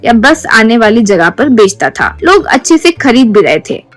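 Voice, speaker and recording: A woman's voice: on the high side, very animated, normal speed. A woman reading a scripted moral tale as its storyteller, in narration style. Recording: music behind the voice. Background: background music.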